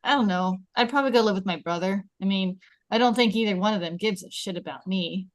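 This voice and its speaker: A woman's voice: medium pitch, fast talker, no echo, lightly acted. A woman reading a prim, popular teenage girl who is sulky and defensive, at a table read of a film script.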